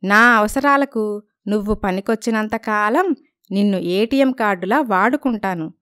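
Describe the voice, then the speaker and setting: A woman's voice: medium pitch, a little slow, a bit bright, smooth, dry recording, clean studio sound, lightly acted. A woman voicing a scheming, haughty young girlfriend in a cartoon story, pert in manner. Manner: pert, mixes in English phrases.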